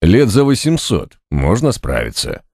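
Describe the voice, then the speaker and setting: A man reading fiction as an audiobook narrator, with an expressive, wry, dramatic delivery. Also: lowish voice, measured pace, vocal fry, no room sound, studio quality. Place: studio, dry and close.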